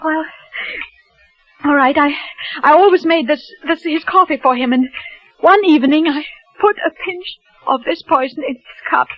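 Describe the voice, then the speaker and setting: A jittery young wife stammers and catches her breath, played by an actress in an old radio thriller. Her voice sits high and thin, and the whole broadcast comes through dull and muffled, like an old radio play. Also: normal speed, pauses often, lightly acted. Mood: confessing. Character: frightened young wife hiding her fear from her husband. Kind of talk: 1940s radio drama acting.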